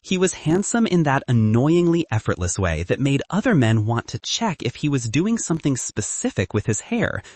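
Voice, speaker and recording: Male narrator, scripted storytelling, lively swooping intonation, slightly husky, dry recording. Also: mid-range voice, moderate pace, hardly any pauses, no room sound. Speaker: audiobook narrator.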